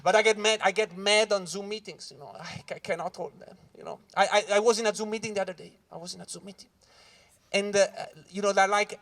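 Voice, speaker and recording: A Swiss man from Ticino, an English speaker, doing a loud, animated stand-up set at a corporate event and talking straight to the crowd. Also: high-pitched, fast. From Switzerland (Ticino). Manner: loud, animated crowd work, keeps saying "guys". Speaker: stand-up comedian. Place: corporate event hall with live audience.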